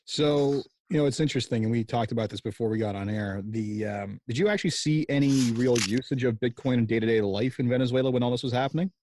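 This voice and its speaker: A man hosting a podcast, asking questions in a brisk, conversational way. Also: mid-range voice, a little mellow, no echo. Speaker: podcast host, interviewer. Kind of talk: interview questions.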